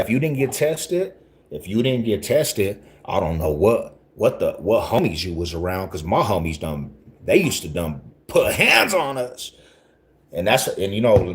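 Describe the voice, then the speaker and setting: A man in his forties from Denver, talking casually to his livestream viewers in rambling street slang and often repeating himself. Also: low voice. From Denver, Colorado. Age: 40s.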